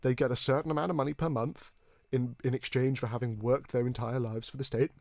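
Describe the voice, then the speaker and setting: A man from Hong Kong hosting a conversational political discussion, speaking earnestly in long, halting stretches with repeated words. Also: medium pitch, fairly even intonation, gravelly, darkish tone, dry recording. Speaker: podcast host.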